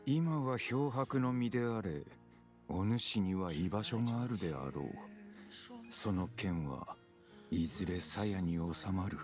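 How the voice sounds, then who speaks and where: Solemn stoic swordsman acted by a man, lowish voice, grave archaic formality, game dialogue over clear music. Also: natural voice. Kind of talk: Japanese video game voice acting.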